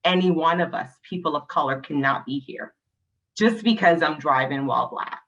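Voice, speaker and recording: A woman's voice: low voice, normal speed, fairly bright tone, highs cut off. A woman from Barbados speaking as a panelist in a video-call discussion, emphatic and passionate, often ending points with a tag question. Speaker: panelist, nonprofit leader.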